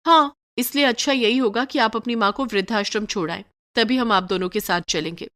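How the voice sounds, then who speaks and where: A woman's voice: a little high, brisk, fairly bright tone, lightly acted. A woman voicing a haughty, spoiled rich young wife who complains indignantly, in a Hindi moral story cartoon.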